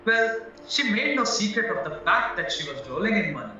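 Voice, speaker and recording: A man acts out a young murder suspect in an audio drama, frank but flustered, hesitating and protesting earnestly. His high voice swings animatedly. It sounds distant and very echoey, with music behind. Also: big pitch swings, a little fast, bright, forceful tone, dull audio, a little hiss or hum, heavily acted. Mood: frank, uneasy. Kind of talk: stage-style courtroom audio drama acting.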